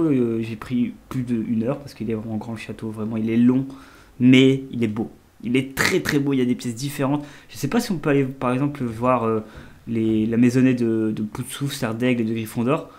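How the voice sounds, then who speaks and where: Chatty man narrating his gameplay. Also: mid-range voice. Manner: chatty, rambling, self-correcting. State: stuffy, allergic sniffles. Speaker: gaming channel host. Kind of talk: let's play commentary.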